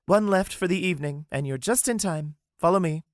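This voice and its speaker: A man's voice: high voice, fairly quick, no room sound, studio quality, pitched above the performer's own voice, close to an everyday voice. A man doing a polite, formal young waitress. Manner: formal, courteous. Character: polite young female café attendant, formal.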